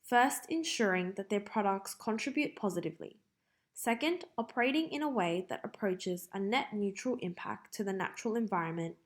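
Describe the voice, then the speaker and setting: A woman with an Australian accent reads scripted practice passages aloud in a measured, careful way. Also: mid-range voice, lively intonation. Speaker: reader of English test practice passages.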